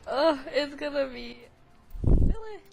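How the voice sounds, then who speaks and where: Slow-paced, high-voiced giggly woman gamer. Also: animated, gravelly, over music. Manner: giggly, rambling, playful. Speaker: gaming video host. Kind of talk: casual gameplay commentary. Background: game sound.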